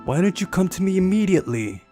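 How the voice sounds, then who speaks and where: A man voicing a brisk, authoritative woman camp leader who questions people, in a visual novel. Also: high-pitched, sing-song, warm tone, soft background music, voice pushed up. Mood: concerned.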